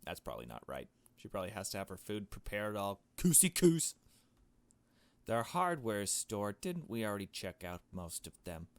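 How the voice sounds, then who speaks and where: A man with a fairly low voice narrates a visual novel as he plays. He moves between reading the text aloud and making casual, blunt remarks of his own. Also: huge pitch swings, normal speed, no echo. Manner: casual, blunt asides with swearing. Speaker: gaming video host.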